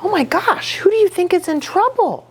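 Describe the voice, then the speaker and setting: A woman's voice: extremely high pitch, expressive, normal speed, slight background noise. A woman reading a children's story aloud expressively, adding little asides for young listeners. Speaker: children's storybook reader, pastor.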